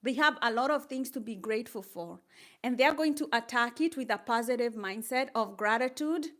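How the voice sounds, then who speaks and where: An older woman with a Kenyan accent talks live to her viewers in a fairly high voice. She chats casually, reads out their comments and laughs easily, upbeat and encouraging throughout. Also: moderate pace, fairly bright tone. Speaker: livestreamer.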